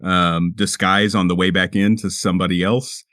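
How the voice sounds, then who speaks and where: A man playing a tabletop roleplaying game, chatting casually and often repeating his words as he thinks out loud. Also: sits low, little pitch movement, moderate pace, no echo, clean studio sound. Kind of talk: casual table chat and in-character play.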